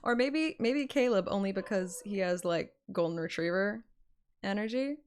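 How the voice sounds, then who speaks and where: A woman's voice: mid-range voice, animated, moderate pace, darkish tone, clear, resonant tone. A woman livestreamer with a playful, chatty manner, talking casually and reading a game story aloud. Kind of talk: casual stream chat and expressive game read-aloud.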